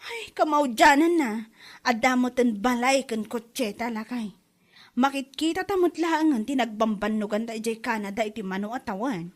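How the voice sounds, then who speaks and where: A woman's voice: fairly high pitch, moderate pace, natural voice. A woman with an Ilocano accent playing a proud, complaining wife talking to her husband in a comedy drama. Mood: boastful.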